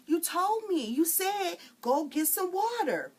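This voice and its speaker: Brief: shrill, indignant young girl, very high and loud voiced by a woman. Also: sing-song, measured pace, heavily acted. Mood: protesting. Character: indignant, excitable little girl.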